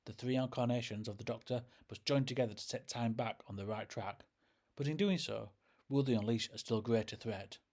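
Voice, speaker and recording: A man hosting a scripted review, speaking in a measured, explanatory way. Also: medium pitch, a little mellow, a little hiss or hum. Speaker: host and reviewer. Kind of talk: scripted narration, audio drama review.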